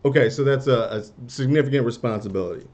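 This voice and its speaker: Halting attorney on a muffled call. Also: male voice, medium pitch, a little mellow. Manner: halting, restarts questions. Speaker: attorney questioning a witness. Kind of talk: deposition questioning over video call. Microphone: video call.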